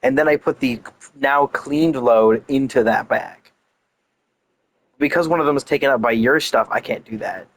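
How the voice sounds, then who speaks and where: A man's voice: mid-range voice, moderate pace, poor audio. A man giving casual gameplay commentary, chatting and thinking aloud in a rambling way. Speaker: game streamer.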